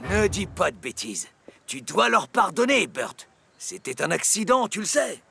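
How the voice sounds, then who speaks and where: A man voicing a good-natured, conciliatory companion in a children's cartoon, soothing and gently chiding. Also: sits high, sing-song, a little slow, creaky, a little hiss or hum, exaggerated voice. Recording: music playing behind.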